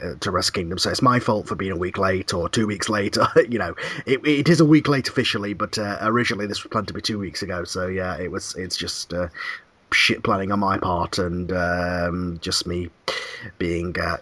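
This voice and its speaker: Brief: male podcast host, hoarse croaky voice, low-set but sharp-edged tone, bone-dry close sound, rambling casual delivery. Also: no room sound. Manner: meandering, casually swearing; clears throat often.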